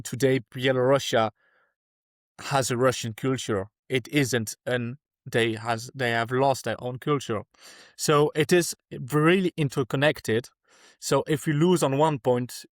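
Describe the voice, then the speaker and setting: Man, from France, earnest, restarts sentences, mid pitch, dry close mic. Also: a little slow, no echo. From France (Alsace). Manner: earnest, searching for words, frequent restarts. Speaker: guest activist. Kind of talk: podcast interview answers.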